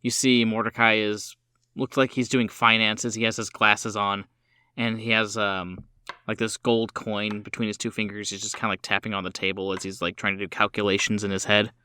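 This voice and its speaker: A man's voice: fairly low pitch, little pitch movement, normal speed, bright, forceful tone, clean tone, no room sound. A man improvising narration as game master of a tabletop game, feeling his way through scenes in fragments. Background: music.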